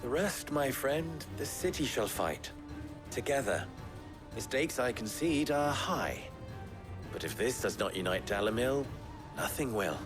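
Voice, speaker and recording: An actor playing an eloquent, wry, calculating town schemer in a video game, speaking in florid, measured phrases with dry wit. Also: male voice, medium pitch, lively intonation, over music, close to an everyday voice. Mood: urgent, resolute. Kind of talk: video game cutscene acting.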